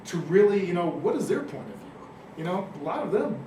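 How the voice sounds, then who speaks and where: A man's voice: sits high, sing-song, brisk, mellow. Earnest man telling a heartfelt story. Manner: earnest, emotional storytelling with repetitions. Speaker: school district armed officer. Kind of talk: personal sharing in a listening circle. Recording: echoey room, distant mic, clear noise.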